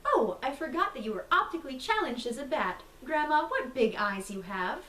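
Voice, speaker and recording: A woman's voice: sits high, very animated, moderate pace, a bit bright, echoey room, far from the mic. A woman voicing a prim, self-righteous young storybook girl with a preachy, emphatic manner. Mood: prim, earnest.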